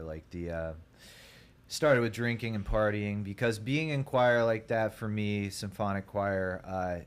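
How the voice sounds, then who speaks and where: A young man from Ohio with a deep voice, a podcast guest telling his story in a rambling, laid-back way full of fillers. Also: smooth. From Columbus, Ohio. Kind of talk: casual podcast chat.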